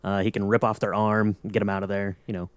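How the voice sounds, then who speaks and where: A man's voice: fairly low pitch, level tone, fast talker, smooth, no room sound. A man co-hosting a podcast, chatting casually and playfully in mock-serious fan banter. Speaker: podcast co-host.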